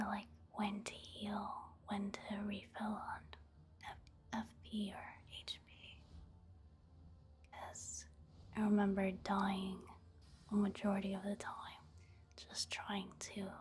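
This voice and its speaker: A woman giving soft-spoken ASMR commentary on a video game in a breathy, whispery voice, hushed and halting. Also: mid-range voice. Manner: hushed, unhurried, halting delivery. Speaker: gaming ASMR creator.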